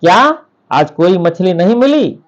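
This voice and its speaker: A man's voice: high-pitched, big pitch swings, measured pace, highs cut off. A man voicing a greedy, stubborn fisherman's wife from a storybook, complaining and insistent. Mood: questioning.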